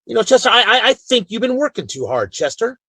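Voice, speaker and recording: A man's voice: extremely high pitch, big pitch swings, quick pace, bright, forceful tone, husky, dry recording, heavily acted. A man playing a firm, loyal Old West marshal in a radio western, speaking in a frank, folksy, commanding way. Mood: kindly. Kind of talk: old-time western radio drama acting.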